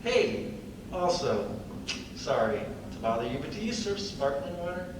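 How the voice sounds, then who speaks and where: A man's voice: on the high side, sing-song, measured pace. A man playing a polite, eager and slightly awkward children's doctor in a script reading. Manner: nervous, chuckling, hesitant politeness. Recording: very echoey hall, far mic, clear noise.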